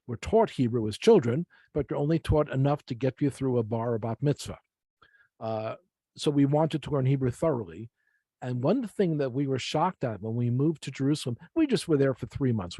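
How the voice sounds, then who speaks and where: Bright-voiced American male Bible teacher. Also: mid-range voice, slightly rough, no room sound. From United States. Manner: deliberate, explanatory, repeats key phrases for emphasis. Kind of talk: informal teaching lecture with slides.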